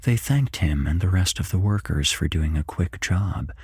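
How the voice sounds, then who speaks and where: Very breathy, low, hushed male narrator. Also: expressive, moderate pace, no room sound. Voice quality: breathy, hushed, half-whispered. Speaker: horror story narrator. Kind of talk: ASMR whispered scripted narration. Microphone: close mic.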